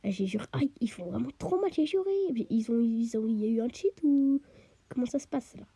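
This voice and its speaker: A woman talks through her game in a husky, breathy voice with a very dark, mellow tone, a bit stuffy from a cold. She chats away casually, often sinks into a half-whisper and peppers it all with quick little interjections. The sound is close and completely dry. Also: a little high, expressive, no room sound. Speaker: gamer. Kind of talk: let's play commentary.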